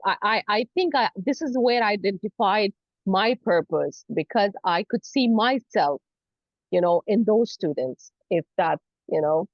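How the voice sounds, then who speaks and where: A woman's voice: mid-range voice, animated, measured pace, dry recording, lo-fi sound. A woman from Saudi Arabia who speaks English as an additional language, answering conversationally as an interview guest and often saying "you know" as she explains earnestly. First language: not English. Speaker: guest, university lecturer in academic English.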